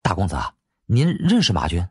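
Man as servant, fawning, deferential, eager to please, low-pitched, audiobook. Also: huge pitch swings, normal speed, a little mellow, slightly rough, soft background music, exaggerated voice. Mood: tentative.

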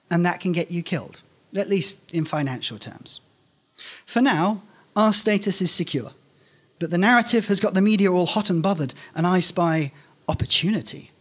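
A middle-aged man reading a scripted first-person story aloud on stage into a stand microphone. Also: sits high. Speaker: reader of a short story at a live event. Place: indoor stage at a live reading event. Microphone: microphone on a stand, close in front.

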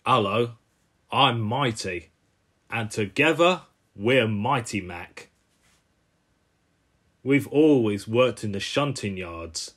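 A man's voice: fairly low pitch, animated, takes it slowly, long pauses, a bit bright, full character voice. A man voicing a bossy, argumentative little male tank engine from a cartoon. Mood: bickering.

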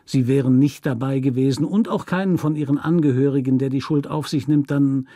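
A man voicing a stern, measured lawyer in a German radio story, deliberate and firm, with quiet menace. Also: mid-range voice, steady tone, no room sound, clean studio sound, natural voice. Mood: quietly threatening.

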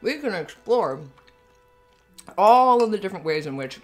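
A woman playing an affectionate, giggly young roommate and girlfriend in an intimate roleplay audio, talking in a halting, rambling, conversational way. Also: mid-range voice, sing-song, soft background music. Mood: giggly, enthusiastic.

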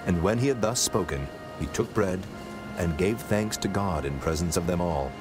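A man narrating scripture from a script in a measured, solemn manner, as part of a dramatized reading. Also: deep voice, expressive, over music.

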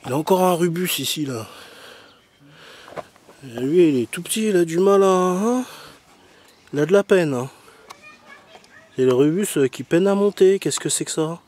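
A man with a fairly high voice gives a casual, rambling commentary. He is slangy and teasing, forever mock-complaining. It is recorded outdoors on a handheld camera, with some faint noise behind him. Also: lively intonation, moderate pace, pauses often, darkish tone. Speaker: vlogger filming a garden. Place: outdoors in an overgrown garden. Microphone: handheld camera mic.

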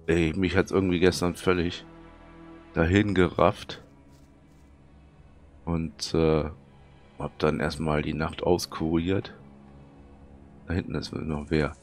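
A man with a cold and a hoarse, thick voice, casually commenting on his gameplay and muttering to himself as he plays. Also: extremely low pitch, little pitch movement, slow pace, long pauses, soft background music. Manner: chatty, muttering to himself while playing. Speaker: let's play commentator.

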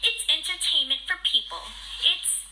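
A streetwise cartoon she-cat, acted by a woman with a high, piercing voice and a mocking, sarcastic edge. The fan-dub recording carries faint noise. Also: normal speed, sharp tone, exaggerated voice, low-quality recording. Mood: dry, mocking. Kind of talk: animated film fandub.

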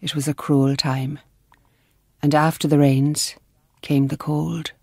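An actress playing a grave nun who narrates a radio drama in a sombre, reflective manner. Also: female voice, sits low, level tone, takes it slowly, creaky, a little mellow, breathy edge, dry recording, studio quality, full character voice.

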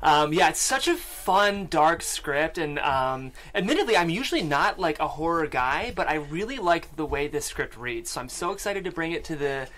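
A man's voice: fairly high pitch, animated, normal speed, few pauses, a bit bright, slight background noise. A young man hosting and reading a script, speaking casually into a close studio microphone. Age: young adult. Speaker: show host and stage-direction reader. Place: small podcast studio. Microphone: close studio microphone with foam windscreen.

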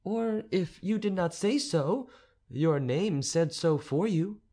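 A man's voice: high-pitched, slow pace, mellow, voice pushed up, full character voice. A man reading an audiobook, voicing a proud, stern noble countess in a haughty, imperious manner. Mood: astonished.

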